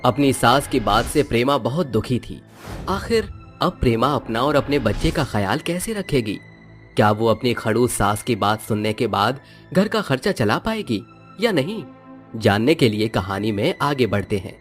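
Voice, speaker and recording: A man narrating a cartoon family story in a lively manner, posing questions to the listener. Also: medium pitch, moderate pace, faint music behind.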